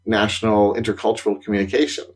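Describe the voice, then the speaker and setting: Husky-voiced man chatting animatedly. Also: very fast. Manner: animated, rising pitch on surprising points, laughs while talking. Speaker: podcast co-host, consultant. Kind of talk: casual conversational chat.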